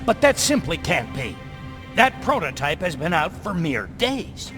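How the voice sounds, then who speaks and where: An actor playing a brainy, earnest resistance tech expert in a video game, explaining technical things excitably. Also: male voice, a little high, measured pace, over music. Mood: disbelieving, alarmed.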